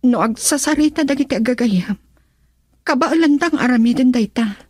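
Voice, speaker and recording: A woman's voice: high-pitched, moderate pace, darkish tone, husky, no room sound, studio quality. A Filipino woman playing a young daughter in love in a radio drama, earnest, pleading and defiant. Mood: worried.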